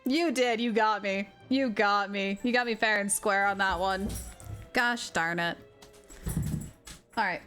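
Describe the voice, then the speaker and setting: A woman live streamer giving casual, playful game commentary in a chatty, jokey, excitable way. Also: medium pitch, unhurried, long pauses. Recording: game music behind.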